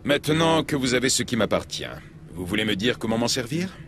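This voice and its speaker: Suave, cautious businessman with lively intonation male actor. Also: mid-range voice, brisk, music in the background, lightly acted. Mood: cautious, courteous. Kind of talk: video game dub dialogue.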